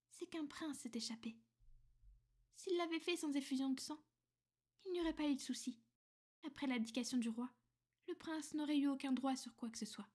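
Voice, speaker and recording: Woman acting as an ancient female vampire, high breathy voice, soft and formal, affectionate, protective, half-whispered ASMR roleplay. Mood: cool, aggrieved. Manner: soft-spoken, formal, measured, drifting into whispers. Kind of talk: French ASMR girlfriend roleplay.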